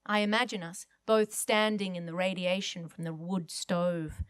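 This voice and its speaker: A woman's voice: on the low side, steady tone, moderate pace, clean tone, no room sound. A woman reading a first-person literary short story aloud as a guest reader at an event.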